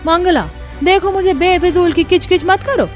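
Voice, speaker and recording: A woman voicing a sharp-tongued young daughter-in-law in a cartoon story, bickering with indignant sarcasm. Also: very high voice, normal speed, faint music behind, pitched above the performer's own voice.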